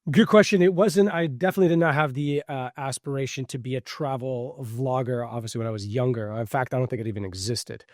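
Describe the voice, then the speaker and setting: A man's voice: medium pitch, fairly even intonation, fast, hardly any pauses, no room sound, clean studio sound. A middle-aged man born in Switzerland, a podcast guest answering questions in a reflective, conversational way with many fillers and restarts.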